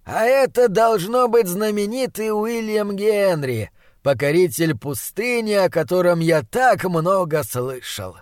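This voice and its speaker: A male narrator acts out a stocky elderly mentor for an audiobook. The old man is sentimental and full of feeling, speaking slowly in a lifted pitch. His comes with endearments and exclamations. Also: animated, dry recording, studio quality. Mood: delighted, exclaiming. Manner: exclaiming, emotional, sprinkles in German phrases. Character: stocky elderly Austrian scholar, effusive, sentimental, warm-hearted old mentor. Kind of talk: acted character in audiobook narration.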